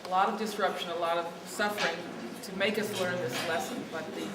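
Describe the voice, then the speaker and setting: A woman with a quiet voice giving a speech without a microphone, speaking earnestly to lift her voice over the room. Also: lowish voice, steady tone, a little fast, very noisy. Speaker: speaker at a lobby day. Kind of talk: outdoor speech without a mic. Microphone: no mic, picked up from a distance. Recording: distant echoey recording with loud ambience.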